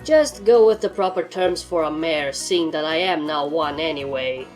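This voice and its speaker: A woman voicing a dry, smug, commanding alien mare in a story reading, with a deadpan, threatening manner. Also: low-pitched, moderate pace, soft background music, close to an everyday voice.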